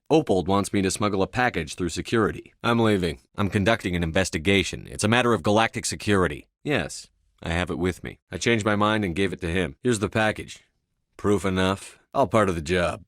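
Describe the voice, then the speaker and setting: Stern sci-fi military hero, deep voice, dry close recording, terse, authoritative, video game dialogue. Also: male voice, fairly bright tone, no room sound. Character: stern, commanding male space soldier hero, adult.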